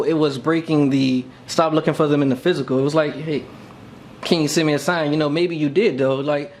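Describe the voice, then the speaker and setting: A man's voice: fairly high pitch, a little hiss or hum. A man talking casually and reflectively as a podcast co-host, thinking aloud in an informal way.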